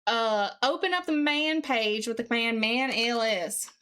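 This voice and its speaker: A woman livestreamer chatting casually and reading aloud in an exaggerated, put-on deep Southern US accent, with a playful, folksy manner. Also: measured pace, sharp tone, clear, resonant tone. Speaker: live streamer.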